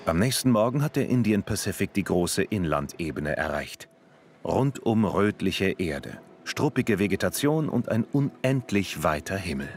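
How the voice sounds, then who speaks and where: A man narrating a travel documentary in a calm, even storytelling manner, reading a script. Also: sits low, moderate pace. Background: music.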